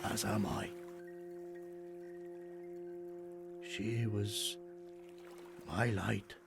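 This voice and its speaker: Roguish sea captain, music behind. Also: male voice, lowish voice, fairly even intonation, slow, gravelly. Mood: grieving. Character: roguish, fond sea captain, a devoted husband. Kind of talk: period audio drama acting.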